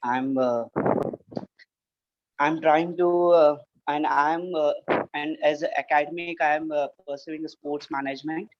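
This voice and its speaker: A man in his twenties with an Indian English accent, introducing himself haltingly over a video call, with many fillers. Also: on the high side, steady tone, slight background noise. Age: 20s. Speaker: participant, cricketer. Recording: dull, dry call audio.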